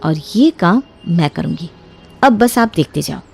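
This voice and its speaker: A woman's voice: lowish voice, animated, unhurried, creaky, darkish tone, slightly rough, pitched below the performer's own voice, heavily acted. A woman voicing a clever young daughter-in-law in a cartoon moral story, sweetly persuasive and emphatic. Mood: firm, calm. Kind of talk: animated Hindi moral story dialogue. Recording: music behind the voice.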